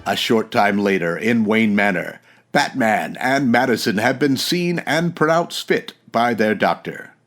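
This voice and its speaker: Man as a dramatic, animated radio-serial announcer. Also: medium pitch. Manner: dramatic, declamatory. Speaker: announcer and narrator. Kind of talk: old-time radio serial narration.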